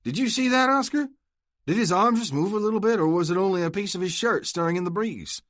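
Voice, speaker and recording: A man's voice: medium pitch, normal speed, no room sound, studio quality, pitched above the performer's own voice, close to an everyday voice. A man voicing a cool bounty hunter who goads and taunts his foe. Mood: taunting. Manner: taunting, goading, steady.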